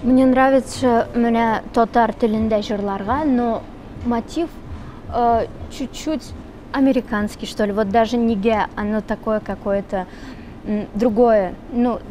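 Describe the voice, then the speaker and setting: A young woman singer answering interview questions in a relaxed, chatty way, with many fillers. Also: a little high, unhurried. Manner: relaxed, chatty, switches between Russian and Tatar, many fillers. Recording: noisy background with traffic.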